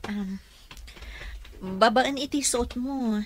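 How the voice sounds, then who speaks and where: A woman's voice: fairly high pitch, slow. A woman playing a caring but frank single mother and nurse in an Ilocano radio comedy-drama. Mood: polite, frank.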